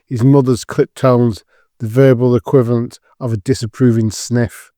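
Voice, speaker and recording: A man's voice: medium pitch, flat delivery, normal speed, mellow, no room sound, clean studio sound. A man giving scripted narration as the storyteller of an audio drama.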